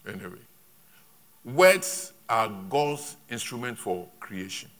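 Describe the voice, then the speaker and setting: A man's voice: fairly low pitch, very animated, a bit bright. A man preaching a sermon in a church, speaking in short emphatic phrases and repeating lines for an interpreter. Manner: emphatic, repetitive, pauses for interpretation.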